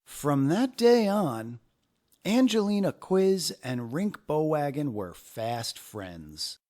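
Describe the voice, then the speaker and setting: A man narrating a children's story aloud in an expressive, deliberate way with dramatic phrasing. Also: fairly high pitch.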